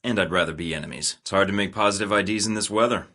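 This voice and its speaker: A cocky secret agent, deep and husky. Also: male voice, fast, bright, forceful tone. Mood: flirty. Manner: dry sarcastic quips. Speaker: video game protagonist voice. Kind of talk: game voice lines.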